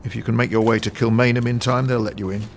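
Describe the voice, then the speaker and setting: A man playing a young British army soldier with a gravelly, mid-pitched voice. He is brusque but deferential, in audio drama style, with a faint rumble behind. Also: little pitch movement, fast, lightly acted. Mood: flat, official.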